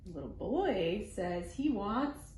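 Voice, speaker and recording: In an echoey room with some background noise, a woman hosts storytime for small children. She speaks slowly in simple phrases, with an expressive, animated read-aloud delivery. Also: mid-range voice. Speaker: children's library storytime host.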